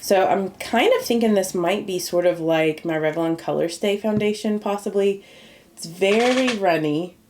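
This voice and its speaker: A woman's voice: mid-range voice, a little mellow. A woman chatting casually through a makeup review for her viewers, sounding a little nasal and congested from a cold, with a slightly scratchy throat. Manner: chatty, rambling, talks directly to viewers. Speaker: beauty YouTuber.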